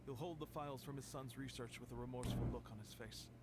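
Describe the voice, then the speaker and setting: An actor playing a grave, weary archive official in a video game, speaking in a measured, serious, explanatory way. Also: male voice, medium pitch, fairly even intonation, close to an everyday voice. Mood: somber. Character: grave, weary male archive official recounting past events. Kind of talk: video game voice acting, expository dialogue. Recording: noisy background on game stream.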